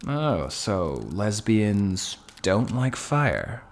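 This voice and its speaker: An actor plays a frank, shaken man facing the end in a theater drama. He talks slowly and haltingly, with dry wit. The recording carries faint noise and room ambience. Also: on the low side, very animated, exaggerated voice. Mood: resigned, probing. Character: doomed married man, frank, wry, shaken stranger. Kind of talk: stage play dialogue.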